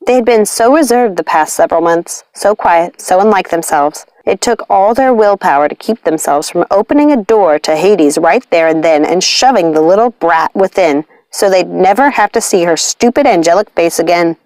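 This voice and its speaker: Animated woman reading a storybook. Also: fairly low pitch, normal speed, few pauses, dry recording, poor audio. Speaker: audiobook narrator. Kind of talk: scripted storybook narration.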